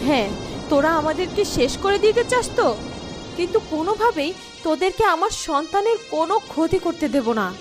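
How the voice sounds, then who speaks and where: High, anxious village mother played by a woman. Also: sing-song, normal speed, music in the background. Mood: frightened, defiant. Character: devoted, anxious village mother, a woodcutter's wife.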